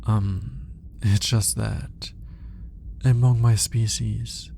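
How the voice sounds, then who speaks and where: A man playing an earnest, smitten alien scientist in an intimate roleplay audio drama, soft-spoken and hesitant, slipping into whispers. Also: fairly low pitch, creaky, slight background noise. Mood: sheepish, hesitant.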